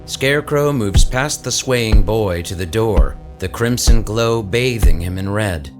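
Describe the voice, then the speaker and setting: A man's voice: fairly low pitch, a little slow. A man giving dramatic scripted narration for a horror audio drama. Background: music under the voice.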